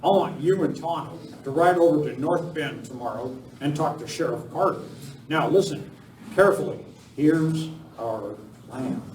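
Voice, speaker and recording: A man's voice: fairly high pitch, moderate pace, natural voice. An amateur actor playing a commanding masked lawman hero in a live radio western. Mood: resolute, planning. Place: village hall stage, live performance. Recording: roomy, distant miking, faint noise.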